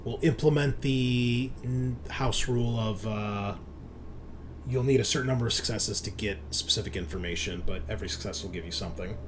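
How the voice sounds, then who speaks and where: A man's voice: fairly low pitch, normal speed. Man game-mastering, some background noise. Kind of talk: improvised tabletop game narration.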